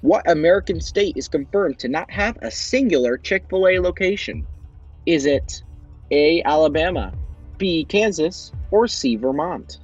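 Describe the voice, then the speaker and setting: A young man with a slightly high, husky voice hosts a fan-made game show quiz. He reads each question and its choices in a bright, upbeat quizmaster manner. Light music plays behind him. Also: animated, unhurried, lo-fi sound.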